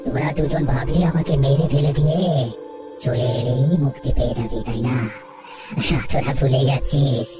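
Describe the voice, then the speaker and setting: A woman voicing a vengeful, menacing murdered ghost in a cartoon, speaking in slow threats. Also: very deep voice, steady tone. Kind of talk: cartoon horror voice acting. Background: music.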